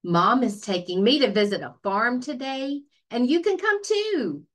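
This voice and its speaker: An eager, affectionate little boy from a picture book, acted out by a woman. The voice is raised and very lively in pitch, exclaiming and calling out as in a children's read-aloud. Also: unhurried. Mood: excited.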